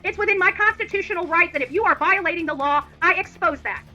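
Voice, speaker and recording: A woman hosting a livestream with an extremely high-pitched and very sharp, forceful voice. She rants indignantly and combatively, hammering her points with repetition and blunt phrasing. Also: fast talker, muffled recording, faint music behind. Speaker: livestream host. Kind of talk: opinionated livestream rant.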